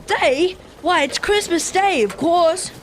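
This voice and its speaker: A man's voice: very high-pitched, slow, fairly bright tone, slight background noise, heavily acted. A man voicing an eager, cheeky young street boy in an audio drama, wide-eyed and exclamatory. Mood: puzzled, eager.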